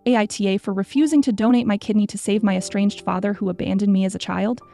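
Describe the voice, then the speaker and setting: Creaky, mellow-voiced female story narrator. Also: mid-range voice, steady tone, fast talker, soft background music. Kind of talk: scripted narration.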